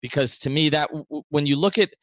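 A man's voice: a little high, quick pace, no echo, studio quality. The man you'd hear on a sports podcast recorded in a deadened room, making his case emphatically and repeating himself to drive points home. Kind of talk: conversational sports analysis.

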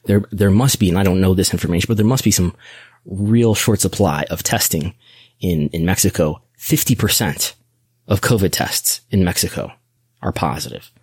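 An American man hosting a podcast, reading through news in a deliberate, announcer-like way. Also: low-pitched, darkish tone, slightly rough, dry recording. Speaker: podcast host.

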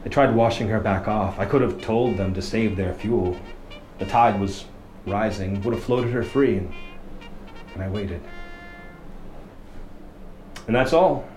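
An actor playing a haunted lighthouse keeper who tells a horror tale in a brooding, halting, dramatic radio play monologue. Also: male voice, lowish voice, darkish tone, soft background music, lightly acted. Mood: bitter resignation. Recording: echoey, noisy location recording in lighthouse.

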